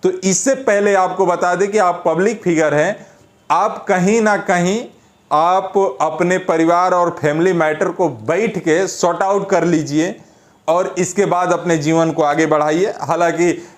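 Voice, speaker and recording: A man's voice: high voice, steady tone, unhurried. A man with a Bihari accent giving informal, opinionated commentary as an online news presenter, colloquial and scolding, repeating phrases for emphasis.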